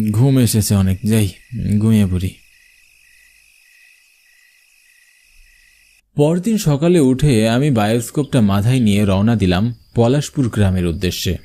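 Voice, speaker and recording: Man acting a worried, mellow-voiced village showman. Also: mid-range voice. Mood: weary, uneasy. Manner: dramatic storytelling. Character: worried, frightened village bioscope showman telling his story. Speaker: narrator and main character. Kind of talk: scripted first-person horror cartoon narration.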